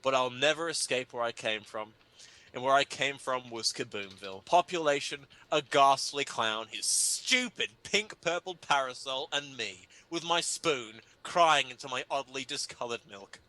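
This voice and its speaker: Bright, animated man reading with mock gravity. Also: medium pitch, moderate pace. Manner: mock-grave, pompous, breaking into laughter. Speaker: reader of a humorous article. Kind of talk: theatrical dramatic reading of comic prose.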